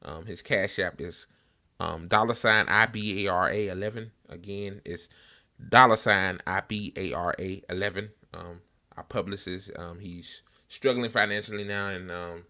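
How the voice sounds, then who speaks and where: Male American host, low forceful voice, informal halting political commentary. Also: fairly even intonation. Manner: informal, hesitant, halting delivery with blunt slang. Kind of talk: casual unscripted political commentary.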